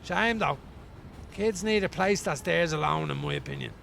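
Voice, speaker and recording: An actor in a radio play playing a weary, wry homeless man from Dublin, rambling colloquially in a gravelly voice. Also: a little high, huge pitch swings, normal speed, a bit bright, soft background music, heavily acted. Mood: opinionated, weary. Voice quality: gravelly, creaky. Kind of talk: radio play acting as an interviewee.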